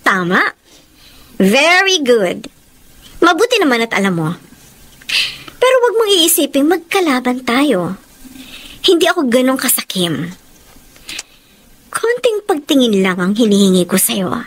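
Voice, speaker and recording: Casting: condescending, manipulative Filipino temptress, radio drama, lively melodic delivery, higher register. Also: female voice, unhurried, pauses often, full character voice. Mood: silky, calculating. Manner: coaxing, condescending. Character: scheming, silky woman who controls a married man.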